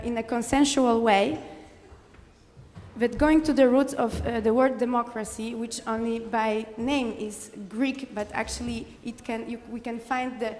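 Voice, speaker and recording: A woman from the audience, speaking English as a philosopher at a conference, direct and insistent, often pausing with fillers. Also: fairly high pitch, a little mellow, big-room sound, slight background noise. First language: Polish. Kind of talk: conference floor remarks. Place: conference hall.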